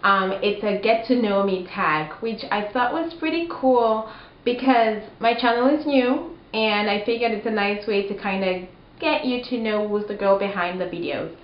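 A woman's voice: medium pitch, normal speed. Young woman vlogging in a dull, roomy recording. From Cameroon, also lived in Kenya and Rwanda. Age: young adult. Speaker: video blogger. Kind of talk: casual chat to camera, answering tag questions. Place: small living room, indoors. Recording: dull, roomy home recording with faint noise.

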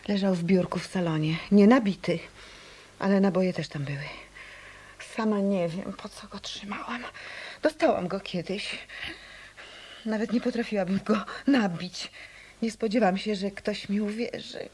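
An actress playing an anxious, world-weary woman in a Polish radio detective play, with a husky, breathy voice and a halting, hushed delivery. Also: mid-range voice, lightly acted. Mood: fearful, resigned.

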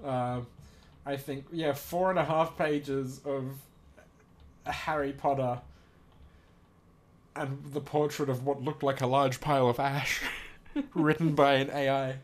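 Guy reading stuff out loud and reacting, swears a lot, cracking up, mock serious. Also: medium pitch, steady tone, slow, long pauses. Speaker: host. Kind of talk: casual reading aloud and reacting.

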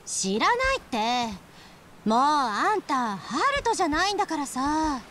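A very high-voiced, bossy big sister, lively and managing. It sounds like game cutscene acting, with faint ambient noise behind. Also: female voice, moderate pace, exaggerated voice. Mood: brisk, scolding.

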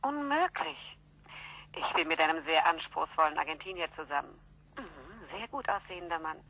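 An actress playing a flirtatious, casually teasing mistress on the telephone in a German radio crime drama. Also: female voice, low voice, lively intonation, moderate pace. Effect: telephone filter. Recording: noisy, clicking telephone line.

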